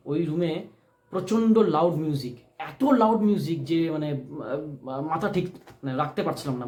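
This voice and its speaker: A man telling a personal story unscripted, in a deliberate, emphatic way that repeats phrases for stress. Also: fairly high pitch, darkish tone, slight background noise. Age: adult, married. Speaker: vlogger telling a personal experience. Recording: echoey room.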